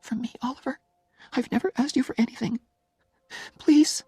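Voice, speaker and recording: A man's voice: high-pitched, a little fast, a little mellow, dry recording, faint music behind, voice pushed up. A man voicing a young wife in an audiobook story, hurt and exasperated, pleading with emphatic emotion. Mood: tearful pleading.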